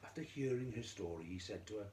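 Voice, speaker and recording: A man storyteller narrating a scripted fairy tale for children, theatrically, in measured phrases with dramatic pauses. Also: lowish voice, lively intonation, darkish tone. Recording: echoey room with faint noise.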